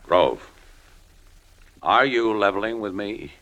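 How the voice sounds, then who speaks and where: A man's voice: lowish voice, animated, slow pace, sharp tone, heavily acted. A man acting in a 1950s radio drama as a tough, decent, skeptical newspaper photographer, talking in a blunt, slangy, firm way.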